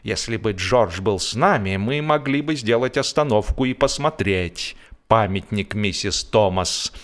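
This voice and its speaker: A man's voice: lowish voice, lively intonation, unhurried. A man voicing an indignant holiday boater in a humorous audiobook, ranting in exasperated rhetorical questions. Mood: aggrieved grumbling. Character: indignant, grumbling, blustering male holiday boater.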